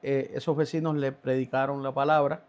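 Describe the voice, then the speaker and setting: A man's voice: mid-range voice, level tone, a little mellow, clear, resonant tone. A man, a podcast guest telling his faith story with many halts and restarts. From Cuba. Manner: halting, many restarts and fillers. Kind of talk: testimony in a podcast interview.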